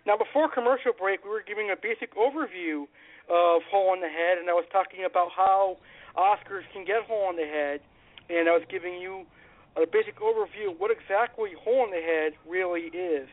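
A high-voiced man with a cold hosts a call-in fish radio show. He talks loosely and circles back to the same phrases. The sound is thin and phone-like. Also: fairly quick. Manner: informal, repeats phrases, fillers. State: has a cold. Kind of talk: casual call-in radio talk. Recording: phone-like narrow band.

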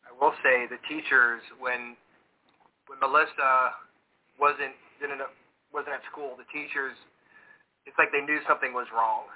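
A man's voice: mid-range voice, fairly even intonation, measured pace, long pauses. Chatty man on a tinny line. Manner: loose, chatty, rambling. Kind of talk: casual podcast chat. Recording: tinny phone-like audio.

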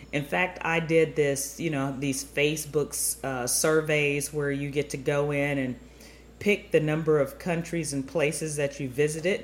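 A woman's voice: low voice, a little hiss or hum. A middle-aged woman hosting a travel show, stuffy-nosed, chatting upbeat and rambling straight to her listeners. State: stuffy nose. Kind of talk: chatty podcast monologue.

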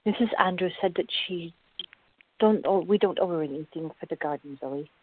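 Woman acting a patient, husky-voiced sensible woman. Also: lowish voice, fairly quick. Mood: reasoning. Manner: calm, reasoning, gently firm. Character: patient, sensible woman, caring and gently firm. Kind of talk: radio drama acting. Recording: muffled, phone-like narrow-band audio.

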